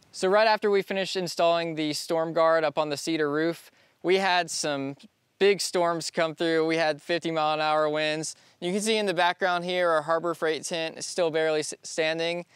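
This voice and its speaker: A man's voice: a little high, clean tone, no echo. A young man in his twenties, chatting casually outdoors on a rural property as a DIY vlogger, easygoing and laughing often. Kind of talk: casual DIY vlog talk. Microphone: lapel or on-camera mic.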